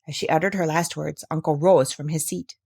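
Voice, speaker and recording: A woman narrating a scripted children's story in an expressive, careful storytelling manner. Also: sits low, fairly quick, gravelly, breathy edge, dry recording, clean studio sound. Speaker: audiobook reader.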